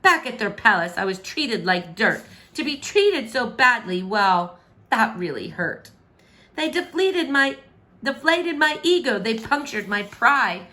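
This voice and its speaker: A woman's voice: fairly high pitch, very animated, a little slow, bright, forceful tone, exaggerated voice. A woman voicing a proud, wounded boy prince in a children's verse storybook, with a theatrical, grumbling manner. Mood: bitter, indignant.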